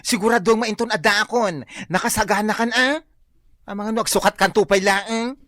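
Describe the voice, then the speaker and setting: A woman's voice: mid-range voice, moderate pace, a bit bright, no room sound, clean studio sound, close to an everyday voice. A woman playing a flamboyant, bossy young co-worker in an Ilocano comedic radio drama, exclaiming theatrically with an Ilocano accent. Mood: exasperated, showy.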